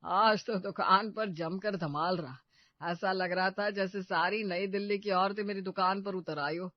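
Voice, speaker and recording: A man voicing a jovial, boastful older father who runs a shop, bragging in a showy, drawn-out way in a story cartoon. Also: sits high, steady tone, no room sound, dull audio. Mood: showy, delighted.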